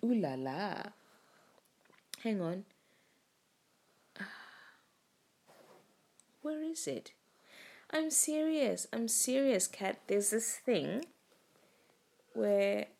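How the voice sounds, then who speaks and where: A woman's voice: mid-range voice, lively intonation, drawn-out words, gravelly, pauses often, dark tone. A young woman co-hosting a casual, tipsy podcast chat, rambling, swearing and laughing often, whose first language is not English. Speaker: podcast co-host. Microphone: home recording, lying down.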